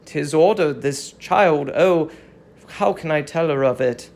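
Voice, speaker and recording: A performer playing a reluctant, troubled male Greek herald, halting and grave, in a dramatic reading of tragedy. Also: medium pitch, darkish tone, pitched below the performer's own voice. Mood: reluctant, uneasy.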